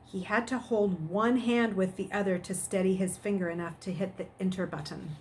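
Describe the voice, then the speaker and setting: A middle-aged woman speaks as a teacher doing a read-aloud for her students. Her voice is mid-pitched and her delivery expressive, with faint room noise behind her. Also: normal speed. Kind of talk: expressive narration of a children's novel and chat to students.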